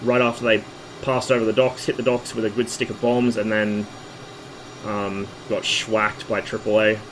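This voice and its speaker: A hoarse man, losing his voice, casually talking through a flight-sim stream in a relaxed, rambling way. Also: lowish voice, moderate pace, a little hiss or hum, poor audio. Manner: relaxed, rambling, with fillers and asides.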